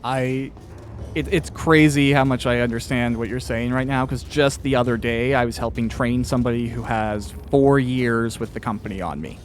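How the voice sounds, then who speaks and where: A man's voice: medium pitch, fairly even intonation, moderate pace, slight background noise. A man who works as an author, interviewing someone casually in a candid, rambling, self-reflective way.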